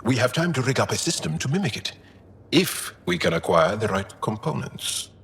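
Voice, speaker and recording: Very husky, gravelly alien crewmate. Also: male voice, medium pitch, sing-song, moderate pace, fairly bright tone, exaggerated voice. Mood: thoughtful, dry. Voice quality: very raspy, gravelly. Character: gruff, measured male alien crewmate. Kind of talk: video game dialogue.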